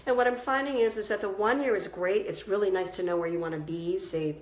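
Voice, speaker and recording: A woman's voice: mid-range voice, fast talker. Woman coach w a cold, kinda congested, chatty and encouraging, roomy echo. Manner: conversational, encouraging, with frequent restarts. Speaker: business coach. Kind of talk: instructional talk to camera.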